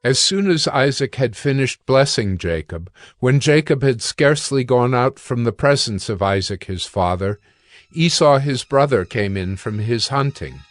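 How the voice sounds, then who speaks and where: A man reads scripture as a narrator. His voice is medium in pitch, and he delivers scripted storytelling between the actors' lines. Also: expressive, a little slow, dry recording, clean studio sound.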